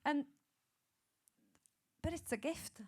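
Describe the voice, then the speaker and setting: A woman's voice: sits high, takes it slowly, darkish tone. A woman in her forties with a Welsh accent, a singer speaking as a panel guest, earnest and reflective, with light laughter. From Wales. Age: 40s. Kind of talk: panel discussion.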